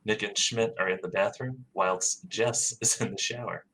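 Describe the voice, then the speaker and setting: A man's voice: lowish voice, moderate pace, pushed and bright, poor audio. A man narrating stage directions in a plain, matter-of-fact way.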